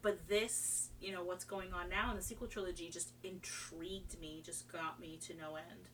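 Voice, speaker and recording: A woman with a bright, keen voice talks casually and enthusiastically, doubling back on her sentences as she goes. She is from Trinidad and moved to America young. The recording sits a little far from the mic, with faint noise and hum. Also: lowish voice, fairly even intonation, moderate pace. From Trinidad, moved to America at age five. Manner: rambling, enthusiastic, self-interrupting. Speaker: podcast guest. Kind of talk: casual chat about fandom.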